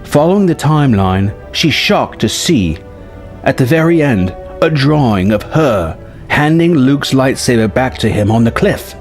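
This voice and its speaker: A man narrates a scripted adventure story in a medium-pitched voice. He reads as a storyteller, and music plays behind him. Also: expressive, measured pace. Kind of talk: scripted story narration, reading a film treatment. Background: background music.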